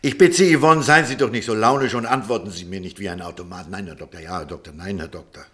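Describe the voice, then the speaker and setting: A man plays a clinic surgeon in a radio drama, his voice on the low side. He is formal and brusque, used to command. A troubled conscience makes him defensive and insistent. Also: brisk, a bit bright, natural voice. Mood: indignant, defensive. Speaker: actor. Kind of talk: German radio play acting.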